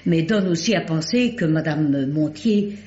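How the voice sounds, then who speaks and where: An actress playing a sharp-minded lady sleuth in an old radio drama, poised and deliberate. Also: deep voice, animated. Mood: determined. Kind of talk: 1950s French radio drama acting. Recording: dull, roomy old radio recording.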